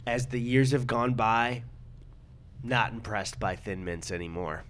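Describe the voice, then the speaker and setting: A man's voice: medium pitch, a little slow, a bit bright. An American man co-hosting a casual comedic chat, rambling and opinionated, with plenty of swearing. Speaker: podcast co-host.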